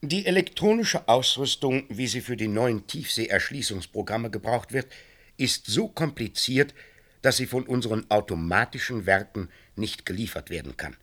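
A man's voice: mid-range voice, animated, normal speed, fairly bright tone. A man playing a self-assured official of a dystopian society in a German science-fiction radio play, formal, officious and declamatory. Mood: officious, emphatic.

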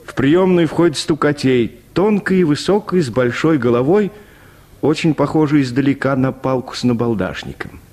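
A man's voice: medium pitch, normal speed, darkish tone. A man narrating a dramatized literary short story for radio, in scripted prose.

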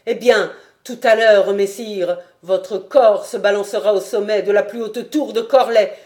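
Woman narrator voicing an enraged warlord baron, loud and proud, book reading. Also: lowish voice, normal speed, roomy sound, close to an everyday voice. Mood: furious. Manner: loud, shouting in rage.

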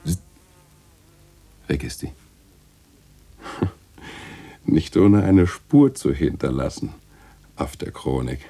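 A jovial, witty man of letters in a radio play. The voice is very low and husky, with big theatrical swings of melody. He speaks in florid, ironic phrases with a teasing edge. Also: expressive, takes it slowly, pauses often, dark tone, full character voice. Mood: musing, ironic. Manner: theatrical, chuckling irony with florid literary phrasing. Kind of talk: German radio play acting.

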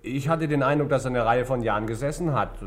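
An actor playing a cagey, sardonic bar owner with a shady past in a German radio crime drama, answering offhandedly. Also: male voice, mid-range voice, fast, faint music behind, close to an everyday voice. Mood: evasive.

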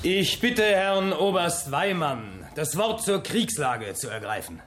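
An officious, polite factory meeting chairman in a radio play. His fairly high voice moves animatedly, with some noise behind it. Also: expressive, slow, fairly bright tone, heavily acted. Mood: formal. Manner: formal, officious. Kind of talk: radio drama acting.

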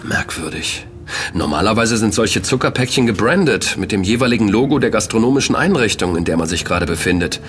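An actor playing an affable, inquisitive young student in an audio drama, talking things through conversationally. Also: male voice, lowish voice, animated, fairly quick, a bit bright. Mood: puzzled. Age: young adult. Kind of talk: German audio drama dialogue.

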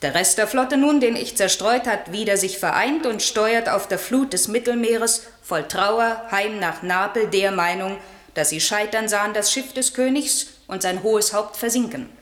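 A woman's voice: medium pitch, moderate pace, echoey room, close to an everyday voice. A woman playing an airy spirit servant in a German classical radio play, eager and proud, declaiming verse theatrically and longing for freedom. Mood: reporting proudly.

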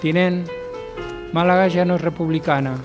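An actor playing a brisk Republican telegraph operator in a radio drama. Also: male voice, a little high, fairly even intonation, music in the background, close to an everyday voice. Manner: brisk, formal, radio procedure.

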